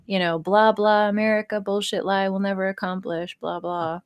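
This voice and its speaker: A woman's voice: mid-range voice, little pitch movement, moderate pace, clear, resonant tone, lo-fi sound. A woman chatting casually on a podcast, joking around and swearing freely. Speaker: podcast guest, audio performer.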